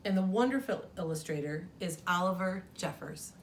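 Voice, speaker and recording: A woman reading a children's picture book aloud in a gentle, expressive way. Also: lowish voice, moderate pace, slight background noise. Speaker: storyteller.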